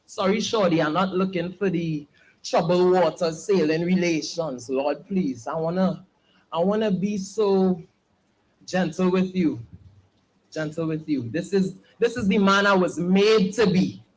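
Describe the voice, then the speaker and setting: A young man, performing spoken word poetry with a slow, earnest, pleading delivery at an open mic. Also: high voice, low-quality recording. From Trinidad and Tobago.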